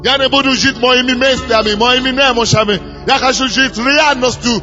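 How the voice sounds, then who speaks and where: A man's voice: very high-pitched, steady tone, moderate pace, bright, forceful tone. A man preaching. He grows fervent, repeats his phrases and leads the people in prayer. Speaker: pastor. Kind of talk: sermon leading prayer. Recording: dull lo-fi recording in a reverberant hall with music.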